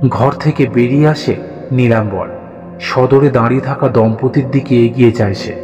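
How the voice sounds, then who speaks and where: A man's voice: mid-range voice, normal speed, warm tone. Male story narrator with long reverb. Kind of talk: scripted dramatic horror story narration. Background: background music.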